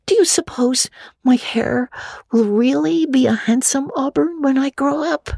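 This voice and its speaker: A woman's voice: high voice, measured pace, creaky, mellow, no echo, studio quality, voice pushed up. A woman voicing an imaginative, talkative young girl in a storybook reading, earnest and dramatic, with gushing chatter. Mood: indignant, sorrowful.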